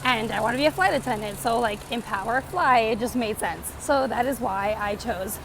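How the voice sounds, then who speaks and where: A woman's voice: on the high side, normal speed, background noise. A woman in her twenties from Canada chatting in a casual life-update vlog, rambling with lots of fillers, recorded on a phone. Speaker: vlogger. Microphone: phone camera.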